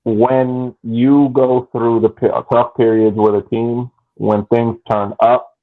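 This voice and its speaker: A man's voice: medium pitch, level tone, slow pace, phone-quality sound. A man making emphatic points in a casual podcast chat.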